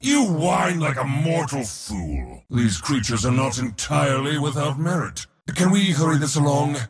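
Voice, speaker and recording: An actor playing an arrogant, bloodthirsty war god for a video game, with a pompous, declamatory delivery. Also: male voice, fairly high pitch, big pitch swings, unhurried, long reverb tail. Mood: scornful, impatient. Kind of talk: theatrical video game character acting.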